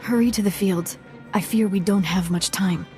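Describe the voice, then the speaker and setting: An actress playing a dignified village matriarch in a video game, earnest, measured and formal. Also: female voice, mid-range voice, loud music behind the voice, close to an everyday voice. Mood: urgent pleading. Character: solemn, dignified village matriarch, grieving yet resolute. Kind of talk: video game drama acting.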